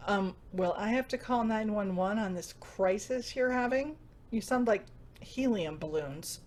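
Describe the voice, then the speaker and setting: Woman acting a female managing director, medium pitch, stern, curt, exasperated, comedic audio drama. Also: a little slow, a little mellow, close to an everyday voice. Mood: officious. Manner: officious, curt.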